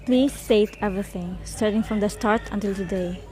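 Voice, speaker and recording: A woman's voice: mid-range voice, normal speed, dark tone, soft background music, lightly acted. A woman playing a stern investigator. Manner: firm, businesslike, impatient. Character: stern female investigator questioning students. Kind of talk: student radio drama acting.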